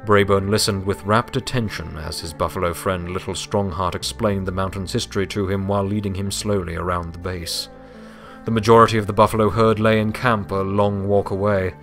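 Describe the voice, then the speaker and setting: A man's voice: sits low, steady tone, brisk, fairly bright tone, soft background music. A man reading scripted story narration as the narrator.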